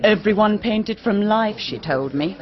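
An actress playing a sharp-minded, disdainful young niece in a radio drama, brisk and cutting. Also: female voice, medium pitch, animated, a crowd in the background, lightly acted. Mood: brisk, knowing. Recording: dull radio recording, people behind.

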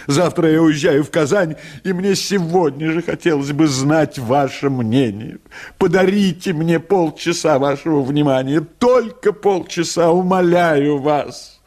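A high, fluttery voice of a middle-aged lady, acted by a male reader. She is nervous and effusive, halting between phrases and drawing out her pleas. She is a pushy amateur writer from a comic short story. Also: a little mellow. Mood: tearful begging. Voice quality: high, tenor-like voice.

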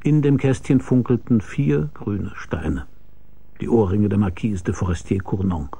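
Deep, gravelly male private eye. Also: measured pace, warm tone. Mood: measured. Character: weary hard-boiled male private detective narrating his case. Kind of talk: radio crime drama narration and dialogue.

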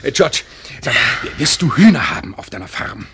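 An actor playing a genial, bumbling middle-aged father in a radio play, hesitant and halting, with wry humour. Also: medium pitch, very animated, vocal fry, fairly bright tone. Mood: halting, confiding. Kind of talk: German radio play acting.

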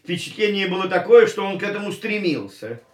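A talkative casino gentleman in a radio play, reminiscing in a lively, fairly high voice. He sounds set back from the mic in a roomy space. Faint music sits underneath. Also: a little fast, far from the mic. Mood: anecdotal. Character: chatty older male casino gentleman, reminiscing.

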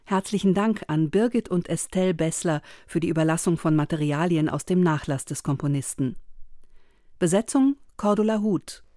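Calm lady reading radio credits, dark mellow voice, dry close sound. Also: lowish voice, no echo. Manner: calm, measured announcing. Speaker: announcer.

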